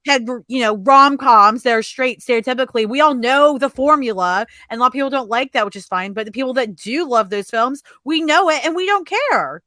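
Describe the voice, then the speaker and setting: A woman with a bright, slightly high voice in a very dry recording. She hosts a casual interview and speaks informally, as in everyday conversation. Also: expressive, brisk, few pauses, no room sound. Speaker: host of a comics interview show.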